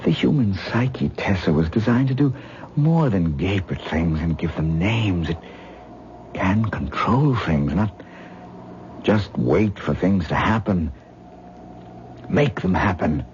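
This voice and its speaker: A man's voice: deep voice, lively intonation, slow pace, long pauses. An actor playing an intense, earnest man who lectures his wife on astral projection in a vintage radio drama. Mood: rapt, lecturing. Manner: dreamy, earnest, lecturing, gently condescending. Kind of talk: 1970s radio drama acting. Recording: muffled old radio broadcast with faint hiss.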